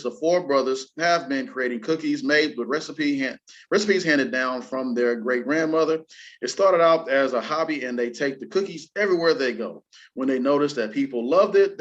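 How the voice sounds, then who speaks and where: Guy hosting a loose talk show, slangy and exclamatory, mid pitch, kinda muffled lo-fi audio. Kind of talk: casual entertainment chat, reading news items aloud. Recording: dull, lo-fi sound.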